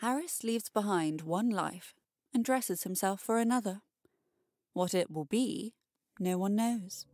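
A female narrator reading scripted fiction. She takes her time, with measured, deliberate phrasing. Her pitch is medium and the sound is dry, with no room on it. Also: a little mellow, no echo. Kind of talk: scripted short-story narration.

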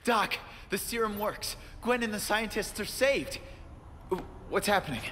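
An actor with an American accent playing an earnest, wisecracking young superhero in a video game. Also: male voice, high-pitched, lively intonation, measured pace, noisy background. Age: young adult. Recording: long reverb, music behind.

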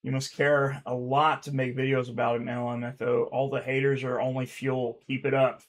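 A man in his thirties reading fan comments aloud on his channel, rambling and swearing, with a stutter he mentions himself, recorded by a webcam in a dark room at home. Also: medium pitch, flat delivery, moderate pace, slight background noise. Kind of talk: casual reaction commentary.

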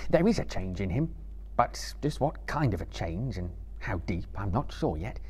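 A man's voice: lowish voice, big pitch swings, normal speed, slightly rough. A man voicing a kind, weary hobbit master who speaks politely and patiently, in a live story reading.

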